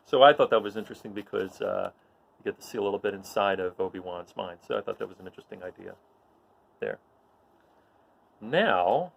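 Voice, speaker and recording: A man's voice: on the low side, creaky. A man casually introducing dramatic readings as the host of a read-aloud.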